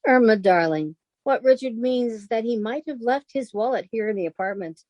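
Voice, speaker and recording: A woman's voice: on the high side, lively intonation, moderate pace, a little mellow, no echo. A woman playing a sensible, exasperated young roommate in a 1940s-style radio comedy. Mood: exasperated patience.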